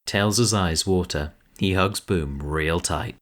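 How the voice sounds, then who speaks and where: A man with a deep voice narrates an audio drama. He reads scripted scene descriptions as the storyteller. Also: takes it slowly, a bit bright.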